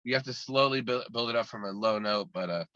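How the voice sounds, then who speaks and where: Rambling guy in an interview, bright forceful voice, muffled lo-fi sound. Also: on the low side, fast. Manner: talkative and rambling, many restarts. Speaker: guest musician. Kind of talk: casual interview chat.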